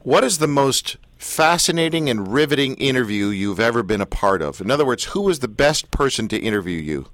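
Teasing radio host, lively voice. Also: male voice, mid-range voice, a little fast, hardly any pauses. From Canada. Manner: joking, teasing, animated. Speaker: radio talk show host. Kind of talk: playful radio interview.

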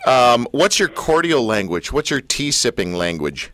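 Teasing radio host, lively voice. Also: male voice, mid-range voice, unhurried, slight background noise. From Canada. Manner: joking, teasing, animated. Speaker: radio talk show host. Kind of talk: playful radio interview.

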